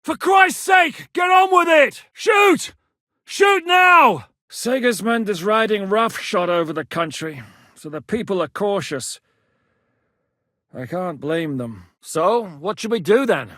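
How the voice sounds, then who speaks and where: An actor voicing a seasoned, commanding male war captain in a video game, blunt and quick to bark orders. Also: high voice, expressive, pushed and bright, no echo, clean studio sound, heavily acted. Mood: battle shouting. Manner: barks battle orders, brash and blunt. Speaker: military commander.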